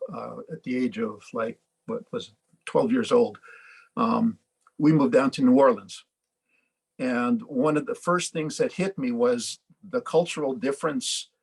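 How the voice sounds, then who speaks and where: A man on a community panel speaking reflectively, pausing and restarting as he thinks. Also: mid-range voice, vocal fry, slightly rough, rough recording. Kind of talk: panel discussion over video call.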